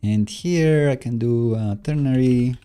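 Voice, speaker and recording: A man giving casual live coding commentary, halting as he thinks aloud while he works. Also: medium pitch, warm tone, clear, resonant tone, soft background music.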